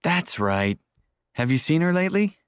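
Friendly man, played by an actor for language-course dialogue: lively intonation, dry studio sound. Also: fairly high pitch, moderate pace, clear, resonant tone, dry recording. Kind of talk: scripted textbook dialogue.

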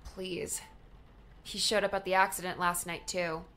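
A woman's voice: lowish voice, little pitch movement, measured pace, a bit bright. A woman playing a candid, wry young adult daughter in a conversational audiobook drama style. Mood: candid, subdued.

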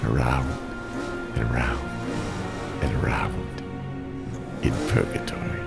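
Man playing a deep, brooding, death-wishing derby driver. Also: fairly even intonation, vocal fry, exaggerated voice. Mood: bitter, self-loathing. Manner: slow, brooding, theatrical, swinging in intensity. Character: bitter, haunted male demolition derby driver with a death wish. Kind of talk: motion comic narration, dramatic monologue. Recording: music bed behind the voice.

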